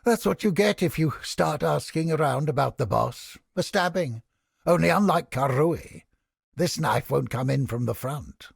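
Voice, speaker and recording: A fanatical, paranoid male inquisitor from a fantasy video game. His voice is thick with gravel and very husky, and it swings up and down as he lectures with smug, theatrical condescension. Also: a little high, animated, unhurried, no echo, clean studio sound, exaggerated voice. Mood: smug, menacing. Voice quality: gravelly, raspy. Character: zealous, paranoid ex-Templar interrogator, smug skeptic of gods. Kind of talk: video game character acting.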